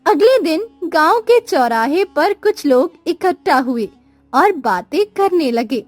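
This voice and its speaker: A woman's voice: high-pitched, big pitch swings, slow pace, no echo, faint music behind. A woman narrating a scripted cartoon moral story.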